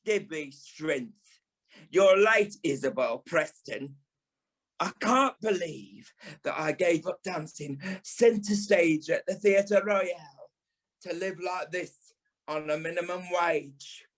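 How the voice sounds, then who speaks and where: An actor playing a camp, sharp-tongued British dance teacher and former stage dancer in an audio play, theatrical and sarcastic. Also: male voice, fairly high pitch, slow pace. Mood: exasperated, bossy. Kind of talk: audio play monologue and dialogue.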